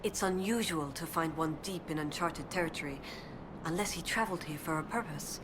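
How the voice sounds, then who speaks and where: A woman's voice: deep voice, fairly quick, noisy background, close to an everyday voice. An actress playing a young female elven research assistant in a video game, earnest and determined, speaking in a precise, scholarly way. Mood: thoughtful, explanatory. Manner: precise, scholarly, thoughtful delivery.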